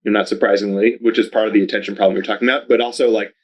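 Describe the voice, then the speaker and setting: Chatty low-voiced man, lots of "like". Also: fairly even intonation, very fast, dull audio. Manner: rambling, heavy use of "like", upbeat. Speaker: podcast guest, video advertising researcher. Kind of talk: casual interview chat.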